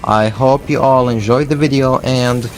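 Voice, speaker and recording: A man in his twenties from Iraq, earnestly giving spoken advice on learning English, pausing on "uh" and correcting himself as he goes. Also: medium pitch, fairly even intonation, soft background music. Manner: earnest and thoughtful, with frequent "uh" and self-corrections. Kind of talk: casual spoken advice to camera.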